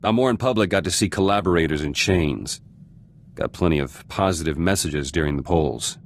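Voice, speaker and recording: A man's voice: deep voice, expressive, moderate pace, slight background noise. A man playing an embittered, vengeful resistance fighter in a video game, speaking in terse, clipped fragments with dropped words. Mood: cold, resolute. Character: embittered male resistance fighter turned politician, hard and vengeful. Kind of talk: video game dramatic voice acting.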